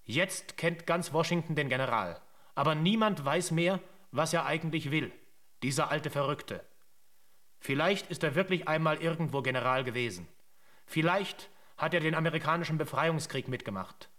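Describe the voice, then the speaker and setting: A man plays the narrator of a radio drama. His voice sits a little high. He chronicles events in a scripted, matter-of-fact way. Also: moderate pace, fairly bright tone, close to an everyday voice. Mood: detached.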